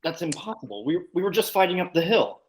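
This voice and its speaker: A woman's voice: lowish voice, very animated, fast talker, a little hiss or hum. A woman playing the dutiful, confused ghost of a Union soldier in an amateur table read.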